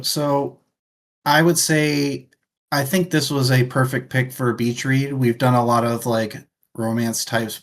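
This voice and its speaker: A man co-hosting a book club podcast, talking casually and conversationally as he sums up his thoughts. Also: mid-range voice.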